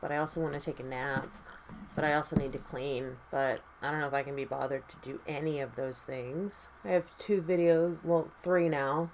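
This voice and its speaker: A woman with a low, raspy voice talks through her makeup routine in a loose, rambling way, swearing casually as she goes. She sounds tired, and her speech drifts from one thought to the next. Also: fairly even intonation, moderate pace, darkish tone, slight background noise, poor audio. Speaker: makeup vlogger. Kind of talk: casual chat while doing makeup.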